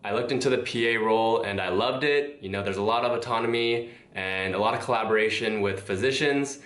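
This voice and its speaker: A man's voice: on the low side, brisk, a bit bright. A man from the United States answering interview questions in an upbeat, confident way. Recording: echoey room.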